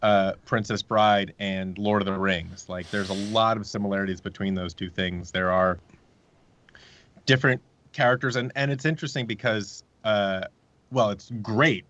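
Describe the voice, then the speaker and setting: A man with a fairly low voice speaks as a guest pitching his creative project. His delivery is informal and halting, with joking asides, and the recording sounds somewhat dull and lo-fi. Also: fairly bright tone. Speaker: guest, audio drama creator. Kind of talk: casual conversational pitch.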